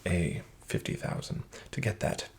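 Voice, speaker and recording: A man in a soft-spoken ASMR roleplay as a casino dealer, half-whispering smooth, flattering patter. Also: measured pace.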